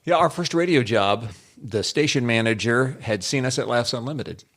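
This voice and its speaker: A man's voice: medium pitch, moderate pace, a bit bright. An older American man telling casual anecdotes as an interview guest, wry and self-deprecating. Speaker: interview guest, former radio comic.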